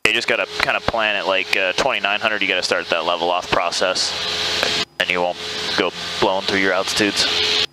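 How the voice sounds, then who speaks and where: A man's voice: deep voice, little pitch movement, moderate pace. A man working as a flight instructor, patiently talking a student through each step and asking questions over a headset intercom. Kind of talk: spoken instruction to a student pilot during a flight. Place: inside a small Cessna cockpit in flight. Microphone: aviation headset intercom. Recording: loud engine noise over cockpit intercom.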